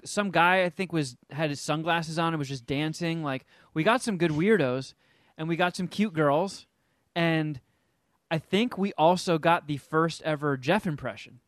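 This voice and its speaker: A man's voice: on the high side, no room sound, studio quality. A man hosting a podcast, casually rambling through a story with swearing. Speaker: podcast host.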